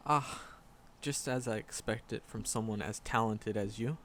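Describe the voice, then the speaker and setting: A man's voice: fairly low pitch, fairly bright tone, a little hiss or hum. A man playing a shy, flustered magic professor in a roleplay audio drama, stammering and nervous as he talks to a secret crush. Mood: nervous admiring. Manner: nervous, halting, stammering, rambling. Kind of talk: roleplay audio drama, intimate one-sided dialogue.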